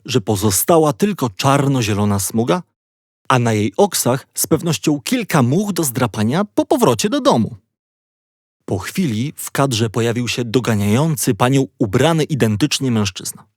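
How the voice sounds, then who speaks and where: Animated man narrating a children's story. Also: medium pitch, no room sound, clean studio sound. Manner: playful, expressive storytelling. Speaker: audiobook narrator.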